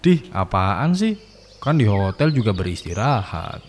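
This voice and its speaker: A man playing a playful, teasing, slangy college student with colloquial Jakarta speech in an animated story. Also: fairly low pitch, measured pace, in a large hall, slight background noise. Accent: Jakarta colloquial Indonesian. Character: joking, laid-back slangy male college student. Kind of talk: animated horror story dialogue.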